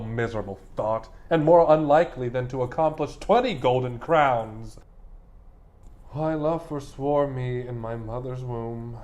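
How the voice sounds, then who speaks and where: Man acting a slow, brooding, scheming nobleman. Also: mid-range voice, darkish tone. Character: scheming, bitter, misshapen nobleman hungry for the crown. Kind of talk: theatrical Shakespearean soliloquy.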